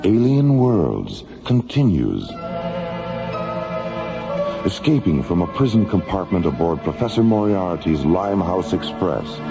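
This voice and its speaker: A male narrator delivers scripted radio drama with a gravelly, animated voice. Loud music runs behind him and the recording is slightly dull. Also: low-pitched.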